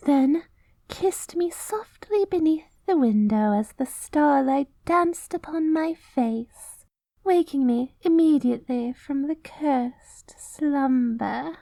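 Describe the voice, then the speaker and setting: A woman's voice: sits high, slow, vocal fry, warm tone, no echo, heavily acted. A woman playing a vain, haughty good queen in a scripted audio roleplay, prim and affected, with false sweetness and grand self-righteousness. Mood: airy, smitten.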